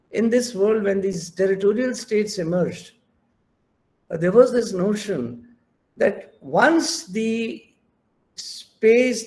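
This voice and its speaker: Indian man, high, emphatic lecturer. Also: a little mellow. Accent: Indian English. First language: Hindi. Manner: emphatic, switches between English and Hindi. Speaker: professor giving a keynote lecture. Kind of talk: online academic lecture. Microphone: online video call.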